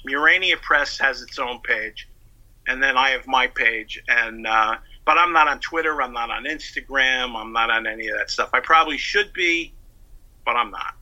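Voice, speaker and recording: A man's voice: medium pitch, moderate pace, bright, forceful tone. A man speaking as a guest author and historian, explaining at length in an interview. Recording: dull phone-like lo-fi line.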